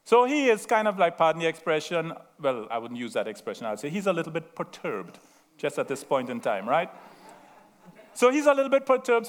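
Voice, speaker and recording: Trinidadian man preaching, chatty and playful. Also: fairly high pitch. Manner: chatty, laughing at himself, asks the room "right?". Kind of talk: sermon with asides and stories.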